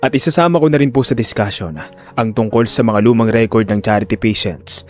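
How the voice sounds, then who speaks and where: A man's voice: mid-range voice, brisk, soft background music, lightly acted. A man voicing a resolute male neurosurgeon in an audio drama, formal and respectful but firm and defiant. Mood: coldly defiant.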